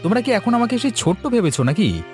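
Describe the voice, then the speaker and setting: A man's voice: high-pitched, very animated, fast, darkish tone, soft background music, voice pushed up. A man voicing a confident, eager young city man in a cartoon story. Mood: eager, breezy.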